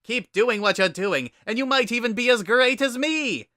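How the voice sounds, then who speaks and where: A man's voice: very high voice, animated, moderate pace, sharp tone, no echo, clean studio sound, pitched above the performer's own voice, heavily acted. A man voicing a proud, exuberant skeleton younger brother in a dramatic story reading, declaiming each line earnestly and emphatically. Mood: proud, excited.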